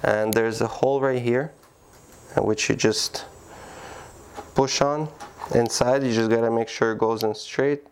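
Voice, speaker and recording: A man giving a plain, practical how-to demonstration, pausing often with small fillers. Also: mid-range voice, steady tone, slight background noise. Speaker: product demonstrator. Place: indoor room by a door.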